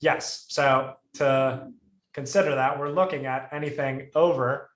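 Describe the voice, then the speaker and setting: A man's voice: medium pitch, fairly even intonation, a little slow, roomy sound. A male instructor on a video call walking through a coding lesson, thinking aloud with restarts and fillers. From Puerto Rico. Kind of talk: live coding lesson review.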